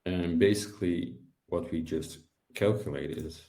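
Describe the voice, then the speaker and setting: A young man presenting an explanatory software tutorial in a webinar over a video call. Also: low-pitched, steady tone, unhurried. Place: indoor room, video call. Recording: roomy echo.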